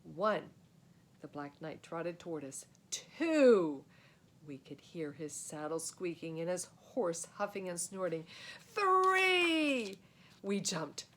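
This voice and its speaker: A woman reading a children's book aloud as a storyteller, in an expressive, dramatic way. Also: deep voice, moderate pace, few pauses. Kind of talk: animated children's book narration.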